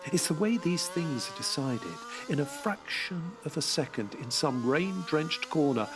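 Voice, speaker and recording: A man's voice: fairly high pitch, animated, unhurried, background noise. A middle-aged man voicing a veteran male racing driver, speaking a terse, clipped inner monologue in an audio drama with music behind him. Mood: brooding. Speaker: narrator and lead character.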